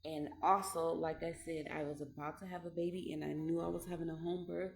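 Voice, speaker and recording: A woman in her late twenties talking through her thoughts in a loose, rambling way at home, repeating phrases and laughing softly between ideas. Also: low-pitched, flat delivery, brisk, gravelly, a little hiss or hum. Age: 20s. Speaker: vlogger. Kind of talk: reflective casual monologue. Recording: echoey room with faint ambience.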